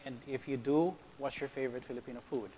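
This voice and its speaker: A man's voice: mid-range voice, steady tone, fast, dark tone, slight background noise, rough recording. A man hosting and moderating a roundtable talk as a consulate official.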